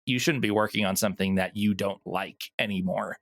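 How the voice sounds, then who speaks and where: A man's voice: lowish voice, fairly even intonation, fairly quick, gravelly. A man talking casually and enthusiastically as an interview guest, in informal language. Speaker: guest, audio drama producer.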